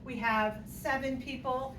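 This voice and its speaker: A woman's voice: sits high, steady tone, measured pace. A woman teaching a math class, playful and jokey as she works through examples aloud. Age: 50s. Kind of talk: classroom lecture. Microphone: distant room mic. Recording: echoey classroom, distant mic, noisy.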